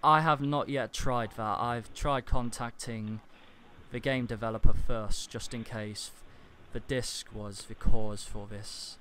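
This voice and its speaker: A man makes a formal complaint over an internet call with clear background noise. He speaks slowly and deliberately at an average pitch, keeping a deadpan, stiffly formal tone. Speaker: prank caller posing as customer. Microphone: computer internet call.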